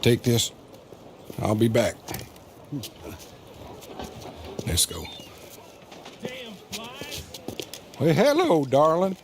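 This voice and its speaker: A man's voice: mid-range voice, huge pitch swings, measured pace, background noise. An actor playing a smarmy, pompous businessman villain in a video game cutscene, with oily, condescending politeness. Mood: falsely warm.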